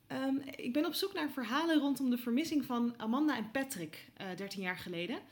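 Young newsroom reporter, played by an actress: somewhat high-pitched, eager, polite, hesitant yet probing, radio drama. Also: female voice, brisk, lightly acted. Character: eager, inquisitive young woman reporter, hesitant but persistent. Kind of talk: naturalistic audio drama dialogue.

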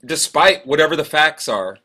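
Bright, slightly high-voiced man reflecting aloud. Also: animated, normal speed. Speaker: podcast co-host, military veteran. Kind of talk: casual, reflective interview conversation.

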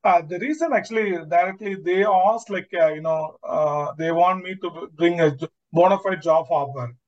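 A man's voice: high-pitched, moderate pace. A man calling in for advice, hesitant and stumbling over his words as he explains. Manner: hesitant, stammering. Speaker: caller asking for advice. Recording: muffled lo-fi call, distant from mic.

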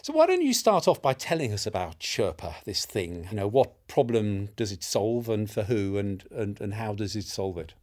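A man's voice: lowish voice, very animated, normal speed, hardly any pauses, darkish tone. A man hosting an interview, asking probing questions with frequent restarts. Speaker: interviewer, institute director. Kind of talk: conversational interview.

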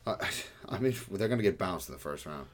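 A man with a very low voice co-hosts a casual sports podcast. He talks in a laid-back, measured way as he breaks down the week's games. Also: animated. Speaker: podcast co-host.

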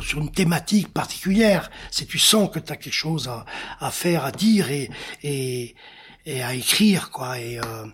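A man's voice: fairly high pitch, fairly bright tone, husky. A middle-aged man answering interview questions as an artist, searching for words and often repeating phrases. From born in Senegal, childhood in Africa. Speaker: artist, engraver and painter being interviewed.

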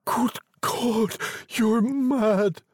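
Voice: mid-range voice, animated, takes it slowly, darkish tone, husky, dry recording, studio quality, pitched above the performer's own voice, exaggerated voice. A storyteller voicing a frightened but tender old husband, protesting in a shaky voice in a dramatic story reading. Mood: horrified.

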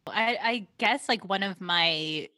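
A woman's voice: mid-range voice, takes it slowly, sharp tone, no room sound, studio quality. A woman in a casual group chat, speaking earnestly and thoughtfully. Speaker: guest in a group conversation.

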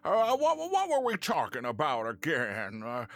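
Stammering, flustered old-timey rabbit, swooping pitch. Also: male voice, wildly swooping pitch, fairly bright tone, exaggerated voice. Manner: stammering, repeating words, halting. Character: flustered, stammering old-timey male rabbit android, folksy movie-star drawl. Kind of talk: spoof radio commercial acting.

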